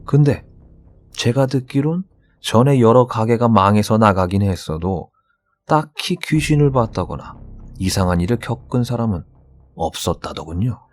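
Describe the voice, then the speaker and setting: A man's voice: lowish voice, animated, moderate pace, natural voice. A man playing a sly, candid shaman in a horror radio drama, speaking in a knowing, conversational way with dramatic emphasis. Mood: grave, knowing. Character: sly, candid shaman confiding about vengeful ghosts.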